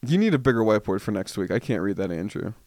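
A man hosting a casual podcast quiz, reading questions slowly and teasing the players. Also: lowish voice, very animated, darkish tone, dry recording, clean studio sound. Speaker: quiz host.

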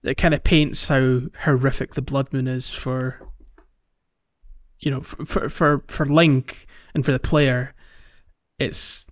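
A man's voice: mid-range voice, flat delivery, takes it slowly, no echo. A man co-hosting a casual gaming podcast, phrasing things hesitantly and circling back over his words. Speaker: podcast co-host. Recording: dull, lo-fi, music behind.

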